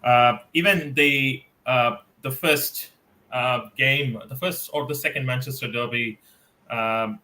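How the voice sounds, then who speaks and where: Bright-voiced, hesitant man co-hosting a podcast. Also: mid-range voice. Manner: hesitant, many "uh" fillers, measured. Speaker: podcast co-host. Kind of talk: casual football podcast chat.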